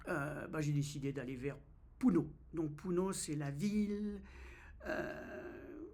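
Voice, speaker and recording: A man's voice: fairly high pitch, a little mellow. A man in his fifties from France, vlogging in a casual, hesitant way with many fillers and restarts. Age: 50s. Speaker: travel vlogger. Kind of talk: casual first-person vlog.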